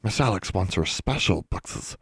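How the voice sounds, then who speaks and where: A man's voice: mid-range voice, sing-song, a little fast, no echo, studio quality, voice pushed up, lightly acted. A man voicing a timid, servile library elf in an audiobook, speaking eagerly in broken servant grammar. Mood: hushed, conspiratorial. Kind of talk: audiobook character voice.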